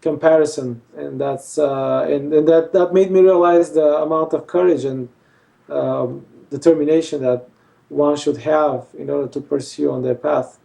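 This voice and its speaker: A man's voice: on the high side, steady tone, moderate pace, gravelly, warm tone, far from the mic, low-quality recording. A male surgeon from Bulgaria, answering interview questions hesitantly with many fillers. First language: Armenian. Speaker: facial plastic surgeon.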